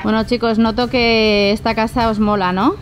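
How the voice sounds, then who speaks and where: A woman's voice: a little high, little pitch movement, moderate pace. A mother giving a chatty, playful house tour, laughing often, outdoors by a pool over background music. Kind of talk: casual family vlog narration.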